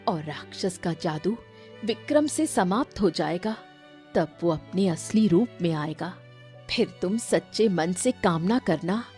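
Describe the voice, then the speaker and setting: A woman's voice: lowish voice, warm tone. A woman narrating a scripted story as its storyteller.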